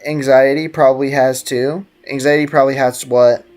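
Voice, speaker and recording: A man's voice: mid-range voice, fairly even intonation, normal speed, a little mellow. Slangy young-sounding male game streamer. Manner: slangy, teasing. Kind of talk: casual livestream gaming chat, reacting to game and chat.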